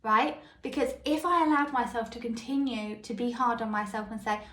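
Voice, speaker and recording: High-voiced woman coaching in an echoey room. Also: normal speed, pushed and bright. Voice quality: high, light voice that others called squeaky. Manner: personal anecdotes, frequent checks with the listener. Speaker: podcast host and self-help coach. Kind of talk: solo motivational podcast monologue.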